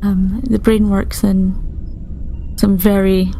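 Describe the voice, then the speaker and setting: A woman playing a tactful starship doctor in improvised tabletop roleplay, speaking in a measured, hesitant, gently clinical way. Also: mid-range voice, flat delivery, slight background noise. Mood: calm, thoughtful. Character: calm, tactful female starship doctor reassuring a patient.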